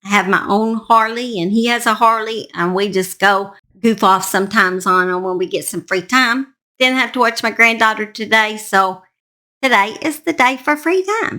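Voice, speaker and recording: A woman's voice: medium pitch, moderate pace, sharp tone. An older woman with a Southern US accent, telling a true-crime story in a casual, folksy, emphatic way as a channel host.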